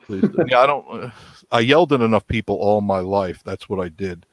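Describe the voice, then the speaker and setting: A man in his sixties chatting casually, folksy and quick to laugh. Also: lowish voice, dry recording. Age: 60s. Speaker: guest on a live chat stream. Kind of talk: casual chat.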